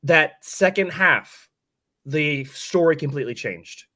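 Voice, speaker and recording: A hoarse-voiced man hosting a sports podcast, breaking down a game casually and candidly with some mild swearing. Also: fairly high pitch, lively intonation, slow, sharp tone.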